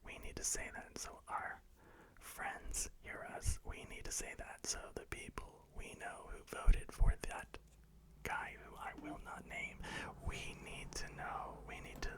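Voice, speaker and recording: Man whispering a slow guided meditation. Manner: slow, soft, repetitive reassurance.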